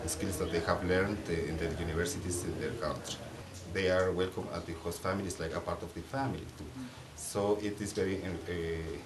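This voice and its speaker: A man gives a testimonial. He pauses to search for words. The room echoes and he sits far from the microphone. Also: low-pitched. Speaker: country coordinator of volunteer program. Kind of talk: interview testimonial. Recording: echoey, distant mic, background noise.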